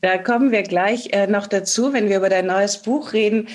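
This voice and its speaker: A woman's voice: medium pitch, normal speed. A woman hosting a German podcast, introducing her guest and asking questions in an engaged, conversational way. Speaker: podcast host.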